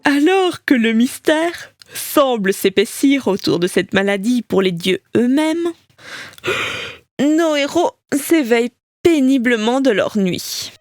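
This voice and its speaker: A woman's voice: fairly high pitch, expressive, takes it slowly, no room sound, faint music behind. A woman as the wry, theatrical narrator of a comic audio drama, teasing about the heroes in scripted storytelling.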